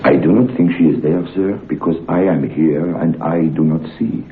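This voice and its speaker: An actor playing a solemn, protective French hotel head waiter in a 1960s radio horror play, with a French accent and halting, formal, broken English. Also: male voice, very deep voice. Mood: hushed, earnest. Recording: dull, noisy old radio recording.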